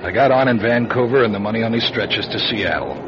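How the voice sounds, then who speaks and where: A man's voice: on the low side, quick pace, natural voice. An American actor, a man, playing a weary, tough, hard-luck 1940s private investigator with wry noir delivery in a radio drama. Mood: world-weary. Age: middle-aged. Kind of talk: radio drama acting with first-person noir narration. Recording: muffled, noisy radio drama recording.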